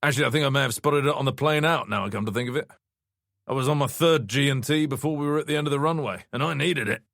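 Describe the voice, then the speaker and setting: A man's voice: medium pitch, fast talker, vocal fry, a bit bright, no room sound, clean studio sound, pitched above the performer's own voice. A man voicing a brash, raffish rich man in his fifties, breezy, boastful and bluntly scornful, in an audiobook story. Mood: amused scorn.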